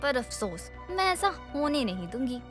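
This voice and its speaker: A woman plays a cunning elder sister-in-law in a family morality tale. Her voice sits high, sly and sugary, jealous underneath the politeness. Soft music runs behind her. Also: very animated, normal speed. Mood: sly, plotting. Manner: sly, sugary before others, plotting when alone. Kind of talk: dramatised Hindi moral story acting.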